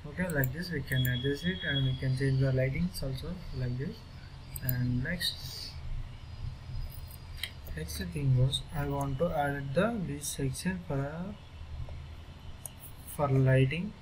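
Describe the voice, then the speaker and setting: A man with an Indian English accent walking through a software tutorial, speaking haltingly as he clicks through steps. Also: medium pitch. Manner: halting, thinking aloud as he clicks. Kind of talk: screen-recorded software tutorial. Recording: noisy screen recording.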